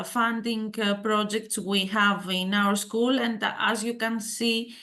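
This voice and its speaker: A middle-aged Greek woman presents at a conference in a Greek accent, in a bright, fairly high voice. She talks slowly and hesitantly, full of fillers, and puts questions to the audience. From Greece. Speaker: school head of digital education giving a talk.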